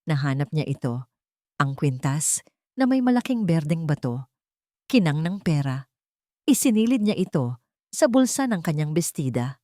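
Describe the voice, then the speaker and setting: Woman, lowish pitch, dramatic scripted narration, very little room sound. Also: big pitch swings, normal speed, pauses often, mellow, no echo, clean studio sound. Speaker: story narrator.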